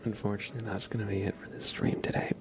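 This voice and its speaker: A man hosting an ASMR livestream, chatting casually and gently to viewers in a breathy whisper. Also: level tone, racing. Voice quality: whispered, breathy, with some soft creaky low voicing. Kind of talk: ASMR, soft casual chat to live viewers.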